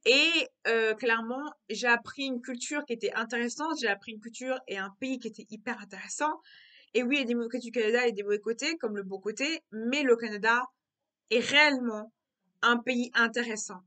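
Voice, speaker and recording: A young woman talks through her thoughts in a relaxed vlog monologue. Her voice sits a little high and sounds bright and clear. She keeps restarting and repeating phrases as she thinks aloud. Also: brisk. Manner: repetitive, circling back on her words. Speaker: vlogger. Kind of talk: casual reflective monologue.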